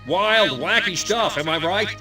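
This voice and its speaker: A shifty American mayor, pompous and glib, in a comic game. His fairly high voice addresses people like a showman, a little off-mic over clear music. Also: lively intonation, measured pace. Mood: glib, evasive. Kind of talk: comic video game cutscene acting. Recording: distant microphone, music behind.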